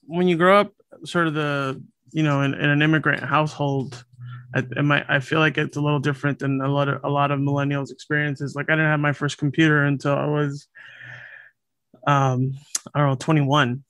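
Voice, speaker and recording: A man in his late thirties from Los Angeles, a podcast guest talking in a relaxed, thoughtful way with many fillers and restarts. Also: fairly high pitch, a little fast, no echo. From Los Angeles, USA. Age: late 30s. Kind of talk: casual conversational interview. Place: home room, over a video call.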